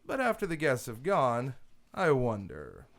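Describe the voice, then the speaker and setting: A man acting a confident newspaper publisher and masked hero in a community radio drama, brisk and commanding. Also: mid-range voice, wildly swooping pitch, over loud music. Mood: scheming. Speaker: radio actor. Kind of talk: old-time radio drama.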